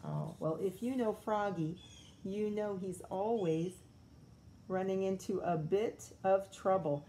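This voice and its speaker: A woman's voice: mid-range voice, takes it slowly, mellow, a little hiss or hum. A woman teacher reading a picture book aloud to young children, expressively, with chatty explanations and questions.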